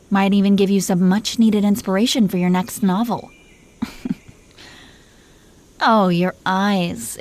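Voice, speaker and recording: A woman's voice: mid-range voice, darkish tone, natural voice. Woman playing a haughty, teasing dragon queen wife. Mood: sultry teasing. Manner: imperious, teasing, affectionately condescending. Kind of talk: scripted roleplay monologue spoken to the listener.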